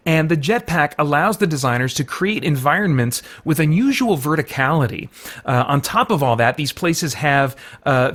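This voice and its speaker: Higher-pitched man reviewing games. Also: fairly bright tone. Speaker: reviewer and host. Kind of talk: video game review, conversational semi-scripted commentary.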